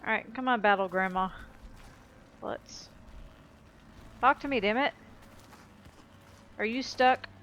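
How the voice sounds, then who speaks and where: A woman's voice: on the high side, takes it slowly, long pauses, a bit bright, muffled recording, a little hiss or hum. A woman giving casual gameplay commentary as a let's play host, chatting and thinking aloud as she goes.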